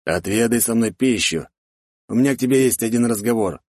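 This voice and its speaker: A man's voice: medium pitch, brisk, darkish tone, no echo, studio quality, pitched above the performer's own voice, natural voice. A man voicing a stern, protective middle-aged count with an authoritative manner in a fantasy audiobook. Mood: serious, secretive. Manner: authoritative, commanding.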